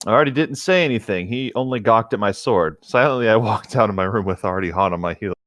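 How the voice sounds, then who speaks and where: A man's voice: lowish voice, brisk, a bit bright, dry recording, clean studio sound. A young man chatting casually with friends and reading a story aloud as a co-host.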